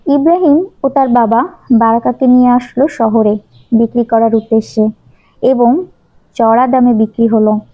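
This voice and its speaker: A woman's voice: on the high side, fairly even intonation, normal speed. A woman narrating a children's story from a script. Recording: dull, lo-fi sound.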